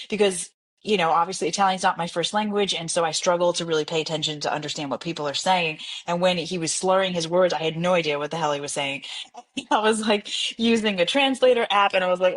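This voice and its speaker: Lively New York woman telling stories. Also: fairly low pitch. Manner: animated storyteller, laughs often, many fillers. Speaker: jazz singer, podcast guest. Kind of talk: casual interview chat.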